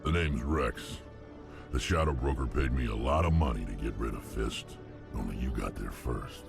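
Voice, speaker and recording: An actor voicing a gruff, blunt, menacing alien mercenary in a video game. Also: male voice, very deep voice, normal speed. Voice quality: gravelly. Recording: music behind the voice.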